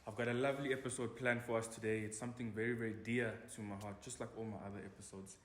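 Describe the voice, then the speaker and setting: A man's voice: medium pitch, flat delivery, very fast. A South African-born man hosting a casual group conversation, upbeat and hyping up his guests. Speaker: host. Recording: echoey room with faint ambience.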